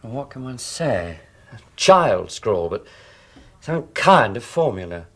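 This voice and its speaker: An actor playing a thoughtful, concerned scientist in a radio drama, questioning in a measured, deliberate way. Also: male voice, mid-range voice, big pitch swings, fairly bright tone, breathy edge, heavily acted. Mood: thoughtful, puzzled. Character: probing, thoughtful scientist friend, quietly concerned. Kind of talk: radio horror drama acting.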